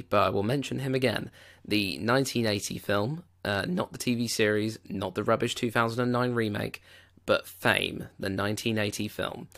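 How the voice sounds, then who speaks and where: Low gravelly-voiced man reviewing films. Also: a bit bright. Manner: enthusiastic, digressive, many "you know" fillers. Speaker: film podcast host. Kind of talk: casual film review monologue.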